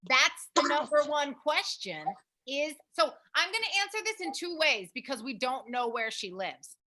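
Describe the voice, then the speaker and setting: A woman's voice: high-pitched, big pitch swings, normal speed, pushed and bright. A young American woman co-hosting a casual advice chat, speaking emphatically and repeating her points. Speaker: host, language program founder.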